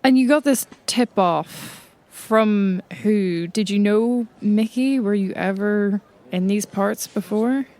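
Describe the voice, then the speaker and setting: A sceptical, probing investigator played by a woman in a roleplay audio drama. The voice is medium in pitch, dry and pointed in its questioning. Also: takes it slowly, few pauses, darkish tone.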